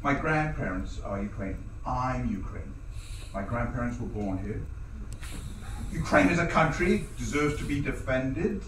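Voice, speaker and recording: An actor playing a reflective man who talks in a measured, musing way. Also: medium pitch, expressive, close to an everyday voice. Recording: roomy, distant mic, faint hiss.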